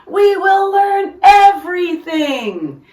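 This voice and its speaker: A woman's voice: extremely high pitch, very animated, drawn-out words, darkish tone, voice pushed up, full character voice. A woman voicing a proud, overeager male principal from a children's storybook, with emphatic, declamatory delivery. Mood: excited, proud. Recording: roomy echo, distant microphone.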